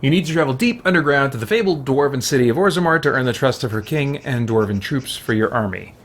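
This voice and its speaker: A man's voice: mid-range voice, expressive, quick pace. A man hosting a gaming video with casual commentary, thinking aloud as he talks to himself.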